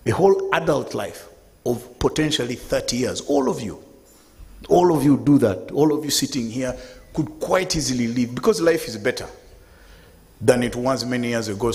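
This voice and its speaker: A man in his fifties gives a public talk to a seated audience, speaking in a lively, conversational way. His voice sits in the middle range. The sound carries a long reverb from the event hall. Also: medium pitch, moderate pace, creaky. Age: 50s. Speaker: guest speaker.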